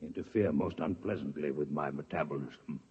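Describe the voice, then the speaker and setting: Deep breathy alien doc, super formal and calm, muffled old radio sound. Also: male voice, full character voice. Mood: measured. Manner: precise, formal, unhurried diction. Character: calm, formal male alien doctor from another planet. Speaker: visiting alien scientist. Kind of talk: 1950s radio drama acting. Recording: dull lo-fi 1950s radio broadcast.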